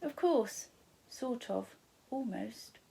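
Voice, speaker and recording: Female reader as a young girl, storybook character, high, anxious, hesitant, questioning. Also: lively intonation, drawn-out words, vocal fry, warm tone, husky, full character voice. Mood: anxious, unsure. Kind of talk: children's storybook read aloud.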